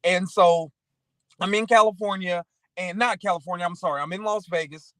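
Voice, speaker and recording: A man's voice: high voice, steady tone, normal speed, fairly bright tone, no echo, studio quality. A man chatting casually and motivationally as a talk show co-host, rambling through anecdotes and often checking in with the listener.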